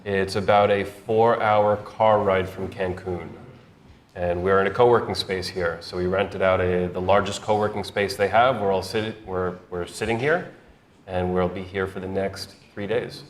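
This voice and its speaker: A man with a low voice co-hosts a live stream, explaining things in a calm, matter-of-fact way. Faint background noise is present. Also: moderate pace. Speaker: co-host. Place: indoor co-working space.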